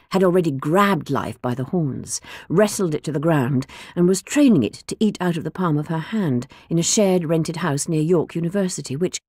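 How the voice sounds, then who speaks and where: Husky, low-voiced woman reading a story. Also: dry recording, clean studio sound. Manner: measured, expressive storytelling. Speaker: audiobook narrator. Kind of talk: scripted first-person narration. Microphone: close studio mic.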